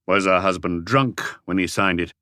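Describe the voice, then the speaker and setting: A man voicing a calm, terse police inspector who questions in a measured, probing way for an audiobook. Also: low-pitched, no echo, clean studio sound.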